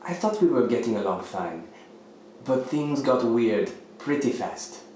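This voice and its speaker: A man's voice: medium pitch, lively intonation, unhurried, warm tone, a little hiss or hum, close to an everyday voice. An actor playing a needy, apologetic male houseguest in a radio thriller, hesitant and eager to please, with theatrical flourishes. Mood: soothing, earnest. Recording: very echoey room, music underneath.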